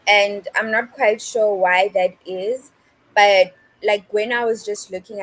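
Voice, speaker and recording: A woman's voice: medium pitch, a little hiss or hum, rough recording. A woman adding tentative, hedging points in a casual live-stream discussion. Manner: tentative, hedging, many fillers. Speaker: discussion panelist.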